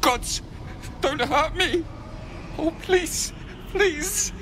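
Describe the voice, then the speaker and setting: An actress playing a frantic, tormented seer in a video game, raving in short, broken, repeated cries. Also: female voice, extremely high pitch, lively intonation, extremely slow, background noise, heavily acted. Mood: shrieking terror. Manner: shrieked, broken short exclamations, repetitive. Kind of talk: video game character acting.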